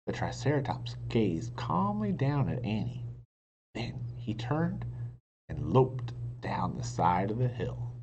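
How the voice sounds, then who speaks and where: A man reading a children's book aloud in an expressive narrating style. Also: on the low side, slow. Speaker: storybook reader. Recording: dull sound, faint noise.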